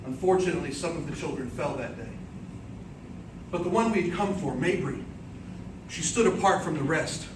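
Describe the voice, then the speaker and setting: Male contest orator, slightly high-pitched, theatrical epic storytelling with deliberate declamation; recorded far from the mic amid clear noise. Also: animated. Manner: dramatic, declamatory, deliberate phrasing. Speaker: speech contest speaker. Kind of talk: theatrical storytelling speech, in the manner of an epic tale. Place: indoor room before an audience. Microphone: distant microphone. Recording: roomy, distant microphone, clearly noisy background.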